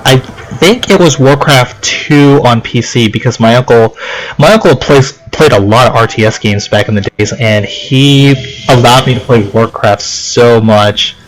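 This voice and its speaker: A man's voice: mid-range voice, poor audio. A man doing casual let's play commentary, reading game text aloud and rambling through puzzled reactions. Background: game music.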